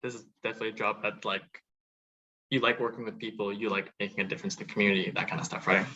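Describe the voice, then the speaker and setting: Bright-voiced man hosting, slightly dull audio. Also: fairly low pitch, fairly even intonation, very fast. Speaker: workshop host and moderator. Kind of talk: informal presentation and questioning of guest speakers.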